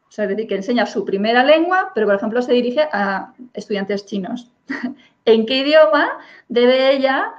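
A woman's voice: fairly high pitch, expressive, moderate pace. A woman from Spain who teaches English, hosting a casual conversation, thinking aloud with fillers and checking in with her listener. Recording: dull lo-fi recording.

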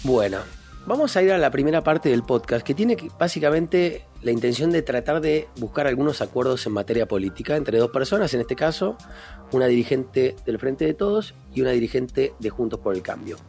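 A man's voice: mid-range voice, expressive, a little fast, creaky, darkish tone, soft background music. A man with an Argentine accent moderating an informal political podcast, addressing his guests in a familiar way. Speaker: host and moderator.

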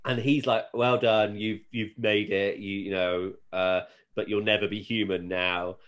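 A man's voice: on the low side, flat delivery, a little slow, fairly bright tone, muffled recording. A man co-hosting a casual episode recap, telling it in a hesitant, amused, rambling way. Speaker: podcast co-host recapping a TV show.

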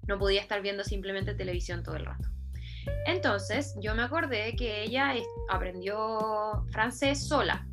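A woman's voice: medium pitch, normal speed, music in the background. A young Chilean woman, a journalist and presenter, telling her story in a chatty way during an online talk. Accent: Chilean Spanish. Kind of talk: talk presentation on video call.

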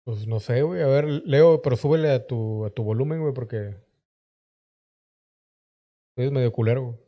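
A man's voice: medium pitch, moderate pace, lo-fi sound. A man with a Mexican accent hosting a live stream, rambling and joking crudely in slangy banter. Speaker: streamer host.

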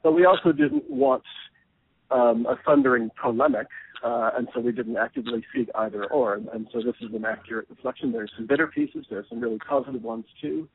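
A man with a gravelly, slightly husky voice talks through his editing work with lively swings in pitch. He is thoughtful and often restarts a phrase. The sound is dry and squeezed into a narrow, phone-like band. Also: a little fast, no echo. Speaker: anthology editor. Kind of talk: radio interview answers.

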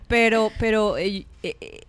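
A woman host on a radio panel show, talking in a chatty, lively way. She steers the conversation and tells stories at an easy, middle pitch. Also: a little slow. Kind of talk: casual radio talk.

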